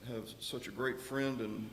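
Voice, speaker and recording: A man leading a county commissioners meeting, speaking in a folksy, halting way with many pauses and restarts. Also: mid-range voice, steady tone, fairly bright tone. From Texas. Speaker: county official chairing meeting. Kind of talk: public meeting remarks. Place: county commissioners court room. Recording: echoey room mic with faint noise.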